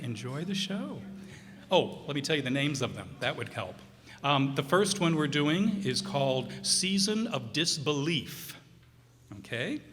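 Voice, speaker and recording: A man giving a casual, halting, off-the-cuff introduction to a live show as its host. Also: medium pitch, a bit bright, a little hiss or hum. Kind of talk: casual, unscripted introduction to audience. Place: performance hall before a live audience. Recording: echoey hall, live stage.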